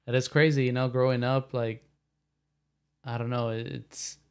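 A young man from San Jose chats easily on a podcast, sprinkling Spanish words into relaxed, slangy English. His voice is smooth and resonant and stays quite level in pitch. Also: normal speed. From raised in San Jose, California. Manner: laid-back, slangy, mixes Spanish phrases into English. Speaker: podcast guest, musician. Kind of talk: casual conversation.

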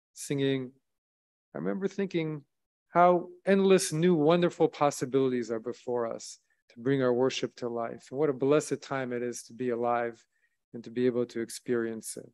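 A man in his thirties, a rabbi, delivering a sermon from a synagogue lectern with measured, solemn emphasis. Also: medium pitch, a little mellow, clean tone. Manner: measured, solemn preaching with rising emphasis on key phrases. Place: synagogue sanctuary at a wooden lectern, indoors. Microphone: lectern microphone, streamed by video.

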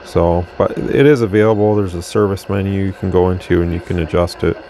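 Man, very deep voice, gravelly, relaxed rambling, workbench demo. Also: brisk, a crowd in the background. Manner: relaxed, rambling, talks while adjusting gear. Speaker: radio reviewer. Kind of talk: casual product demo. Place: workbench with radio equipment, indoors.

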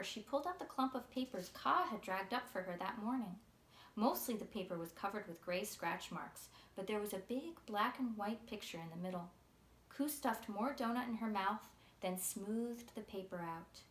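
A woman in her thirties reading a story aloud as its author, in a measured, clearly enunciated way. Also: mid-range voice, fairly bright tone. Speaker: author reading from own book. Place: small furnished room indoors. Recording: roomy sound with faint noise.

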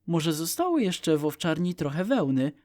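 Man as a little female frog, raised pitch, cheerful, eager, bossy, children's storybook friend. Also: sing-song, moderate pace, a little mellow. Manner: eager, instructing.

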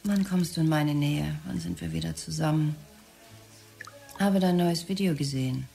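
An actor playing a busy start-up boss who dictates emails in clipped phrases, in an audio drama. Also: male voice, on the high side, normal speed, creaky, dark tone, faint music behind. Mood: wistful.

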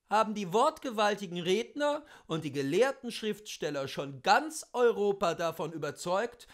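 High-pitched man, expressive literary reading. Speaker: narrator reading a literary memoir aloud.

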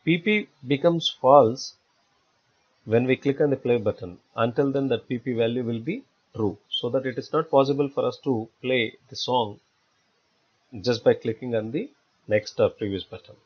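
A man giving step-by-step software tutorial narration as an instructor, in a halting, repetitive explanatory way. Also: medium pitch. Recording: dull lo-fi voice-over recording.

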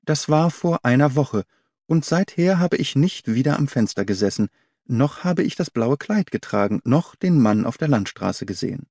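A man narrates a literary story. His medium-pitched voice moves with animated intonation while the phrasing stays measured and clear. The sound is dry, with hardly any room on it. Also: no room sound. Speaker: audiobook narrator. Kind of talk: scripted literary narration, audiobook reading.

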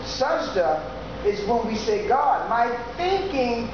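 A preacher giving an informal sermon, talking slowly and conversationally with frequent "yeah" and "you know". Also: medium pitch. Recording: echoey, dull recording with steady background noise.

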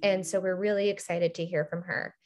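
A woman's voice: lowish voice, flat delivery, a little fast, darkish tone. A woman hosting and moderating an online webinar, upbeat and organized with occasional ums, over a computer mic. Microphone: computer mic on a video call.

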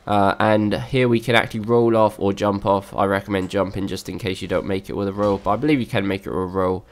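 A man's voice: fairly low pitch, fairly even intonation, fast talker. A young man with a slightly worn voice, casually narrating a game walkthrough with many fillers and restarts. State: slightly lost voice.

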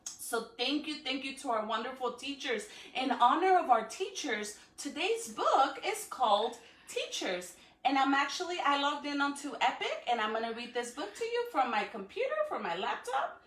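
A woman's voice: sits high, big pitch swings, normal speed, fairly bright tone. A woman reading aloud to children on a livestream as a school educator, in an encouraging way, recorded at home from a few feet away. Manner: encouraging, addressing children with questions. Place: living room at home, indoors. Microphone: phone or camera a few feet away. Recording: echoey room, distant from the microphone.

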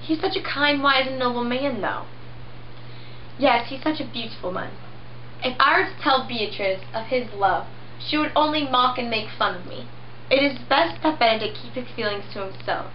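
A young woman quietly reading dialogue for a home puppet show of a classic play. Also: fairly high pitch, normal speed, highs cut off, a little hiss or hum. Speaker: amateur sock-puppet performer. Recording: echoey room with music.

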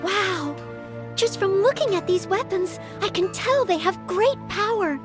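Anime game maid-knight: young, very high and lilting, courteous, earnest, easily anxious. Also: female voice, lively intonation, unhurried, music in the background. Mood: curious, earnest. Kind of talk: anime-style video game dialogue.

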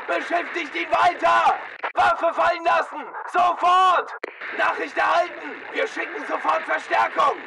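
An actor playing a tense, hard-edged soldier in a video game, giving clipped military combat calls. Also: male voice, extremely high pitch, creaky, far from the mic, noisy background, heavily acted.

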